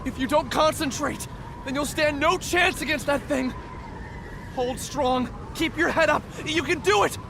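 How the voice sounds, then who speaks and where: An actor playing an urgent young male ally who shouts pleading encouragement to the heroine. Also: very high-pitched, measured pace, gravelly, noisy background, heavily acted. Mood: encouraging. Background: game music.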